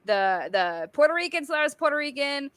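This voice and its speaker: A woman from Massachusetts whose first language is Portuguese, speaking with a Boston accent. She tells stories in a lively, casual way with swearing and laughter. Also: high voice, a little fast, dry recording. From Massachusetts and the Azores. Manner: animated storytelling, frequent laughter and swearing. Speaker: guest, military veteran. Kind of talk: casual chat.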